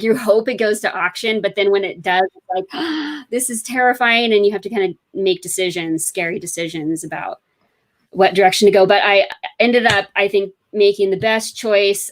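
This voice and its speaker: A woman's voice: medium pitch, normal speed. Chatty woman author, rambling. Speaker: author guest. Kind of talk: casual interview chat.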